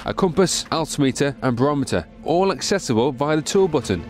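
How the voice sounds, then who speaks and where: A man narrates a product promo in a smooth, scripted voice-over. His voice sits a little high for a man, and clear music plays under him throughout. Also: expressive, a little fast. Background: background music.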